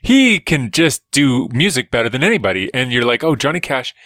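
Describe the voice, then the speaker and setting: A man's voice: medium pitch, big pitch swings, brisk, a bit bright, no room sound. A man, a scientist guest on a podcast, chatting casually with dry wit and rambling stories over a remote call. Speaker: guest, evolutionary biologist. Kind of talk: casual chat.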